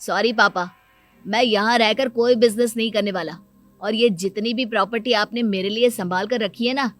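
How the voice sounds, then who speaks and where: A woman's voice: mid-range voice, normal speed, a bit bright, no room sound, close to an everyday voice. Woman doing a stubborn twenty-something son in a cartoon, blunt, argumentative, music behind. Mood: defiant. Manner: firm, argumentative. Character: headstrong grown son in his twenties, blunt. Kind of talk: cartoon moral-story acting.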